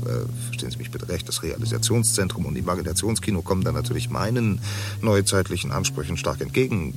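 A man's voice: low-pitched, vocal fry, noisy background. An actor playing a fussy, long-winded man who stammers through convoluted formal phrases in a radio play.

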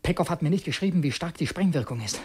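An actor playing a tormented revolutionary assassin in a radio play, speaking tensely and repeating himself obsessively. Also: male voice, a little high, expressive, quick pace, a little mellow, husky. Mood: panicked. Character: tormented revolutionary assassin posing as loyal aide. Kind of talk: German radio play acting.

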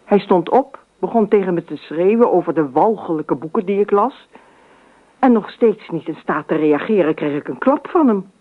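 An actress playing a dreamy, imaginative, talkative wife in a radio drama, with expressive theatrical delivery. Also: female voice, lowish voice, normal speed. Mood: recounting, indignant. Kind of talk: radio drama monologue and dialogue. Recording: tinny, narrow old radio recording.

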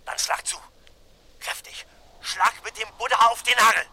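An actor playing a desperate chief inspector and protective husband in a 1970s German radio crime drama, speaking with anguished intensity. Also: male voice, very high voice, steady tone, moderate pace, bright, forceful tone, slightly rough, heavily acted. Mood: urgent, commanding. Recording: noisy 1970s radio play recording.

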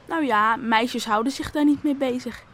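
A woman's voice: high voice, moderate pace, fairly bright tone. A woman playing a cocksure young fisherman's son, bossy and teasing, in a Dutch radio play. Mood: bossy, matter-of-fact.

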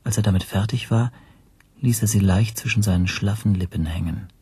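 A reflective storyteller, narrates a radio drama in measured, observant literary prose. His voice is on the low side and extremely husky, with a sober delivery. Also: warm tone, no echo. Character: reflective first-person narrator recalling his interrogation.

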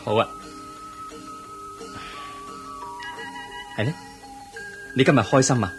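An actor playing an earnest young crown prince in a Cantonese radio drama, speaking in a solemn, formal and measured manner. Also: male voice, medium pitch, over music, lightly acted. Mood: gentle, curious.

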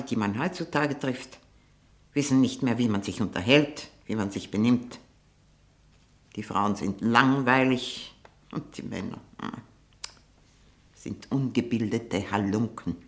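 An actress playing a haughty, sharp-tongued old aunt in a 1960 German radio drama, probing and disdainful, living on her memories. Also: a bass voice.

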